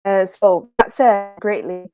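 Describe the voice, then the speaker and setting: A woman's voice: medium pitch, fairly even intonation, slow, darkish tone, clear, resonant tone, no echo, clean studio sound. A young woman from China, a PhD researcher, giving a livestreamed academic talk on a video call, hesitant with many fillers and restarts. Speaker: guest speaker, PhD researcher.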